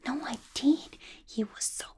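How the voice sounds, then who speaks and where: A scatterbrained young female villain, acted out by a woman gossips in an intimate ASMR roleplay. Her higher-pitched voice is mostly whispered and very breathy. She sounds playful, chatty and clingy, with loose slangy grammar. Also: a little slow, darkish tone, full character voice.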